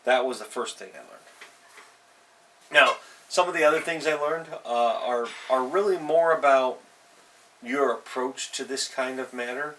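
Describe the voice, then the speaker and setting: A man with a bright, forward voice of medium pitch talks as a show host giving informal advice. He addresses listeners directly and leans on key words in an unscripted way. The mic sits at some distance, with faint noise behind him. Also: unhurried. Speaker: host of a tabletop role-playing advice show. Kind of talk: casual unscripted advice talk.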